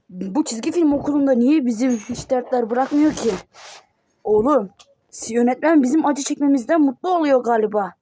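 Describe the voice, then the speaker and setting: A woman voicing panicky kid characters in a homemade cartoon, with excitable exclamations. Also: a little high. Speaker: cartoon voice performer.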